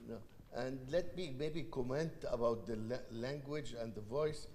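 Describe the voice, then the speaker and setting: A man with an Egyptian accent leads a panel, pausing and restarting as he thinks aloud. His voice sits at a middle pitch and carries a long hall reverb. A faint hiss of background noise runs under it. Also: darkish tone. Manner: thoughtful, halting, hesitant restarts. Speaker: panel moderator. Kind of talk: panel discussion. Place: university event hall.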